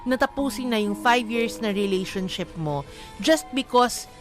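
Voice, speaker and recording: A woman's voice: a little high, lively intonation, a little slow, over music. A Filipino woman co-hosting a radio advice show, chatting casually and emphatically, switching between languages as she gives her opinion. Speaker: radio co-host giving relationship advice. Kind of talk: casual on-air chat mixing Tagalog and English.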